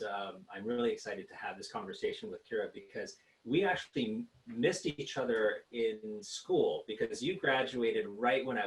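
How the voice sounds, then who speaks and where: Low-voiced man interviewing, relaxed. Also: low-quality recording. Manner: relaxed, frequent fillers. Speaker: interviewer, program director. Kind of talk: conversational interview.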